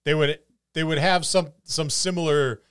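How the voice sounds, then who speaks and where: A man's voice: fairly high pitch, fairly even intonation, normal speed, fairly bright tone, clean tone, no room sound, clean studio sound. A man co-hosting a podcast and chatting casually about tabletop games. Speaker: podcast co-host. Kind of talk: casual tabletop gaming discussion.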